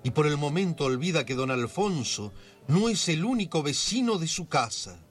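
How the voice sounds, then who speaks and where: A man narrating a scripted radio drama as a measured, theatrical storyteller. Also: fairly high pitch, sing-song, breathy edge, faint music behind. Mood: suspenseful.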